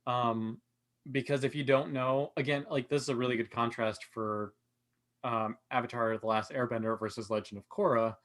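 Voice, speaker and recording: A man with a mid-pitched voice in a casual panel talk. He speaks as a co-host, laying out his ideas in an analytical, explanatory way. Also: level tone, moderate pace, a bit bright. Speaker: podcast co-host. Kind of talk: casual panel discussion of television.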